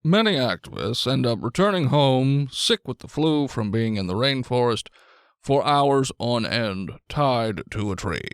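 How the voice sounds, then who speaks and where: A man's voice: mid-range voice, animated, unhurried, smooth, no echo, studio quality. A man playing a news correspondent, delivering a scripted report in a serious manner. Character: male news correspondent reporting grimly on events.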